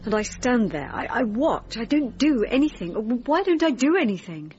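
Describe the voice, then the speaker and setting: Distraught woman, halting and haunted. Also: fairly high pitch, big pitch swings, darkish tone, slight background noise. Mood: anguished. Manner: halting, anxious, stammering speech. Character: distraught, guilt-ridden female patient haunted by nightmares. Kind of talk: naturalistic radio drama acting. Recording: dull radio recording with music behind.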